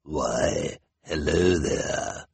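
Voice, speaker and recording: A man voicing a sly, sneering hook-handed villain in a storybook, with a gravelly, husky voice and a slow, menacing drawl. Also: a bass voice, dry recording, heavily acted. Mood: sinister greeting.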